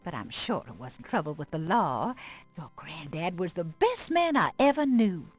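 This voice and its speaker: A gentle old grandmother from a nineties adventure game, fond and wistful. Her aged voice swings widely in pitch as she confides, and music plays softly behind her. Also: normal speed. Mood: wistful, confiding. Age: older. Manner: fond, expressive storytelling. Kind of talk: voice acting in a 1990s adventure game.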